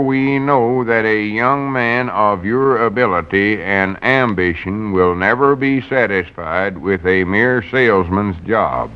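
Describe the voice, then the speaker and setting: Man playing a low-voiced, vain country fellow, old radio. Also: clean tone, lightly acted. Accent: rural Southern US. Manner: folksy drawl, halting when reading aloud. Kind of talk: 1940s radio comedy acting. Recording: muffled vintage radio recording.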